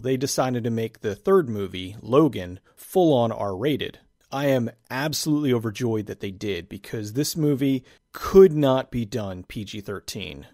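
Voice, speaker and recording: A man giving a casual, enthusiastic movie review, his voice raspy and nearly gone. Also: medium pitch, a little mellow, no echo, clean studio sound. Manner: enthusiastic, conversational, casual swearing.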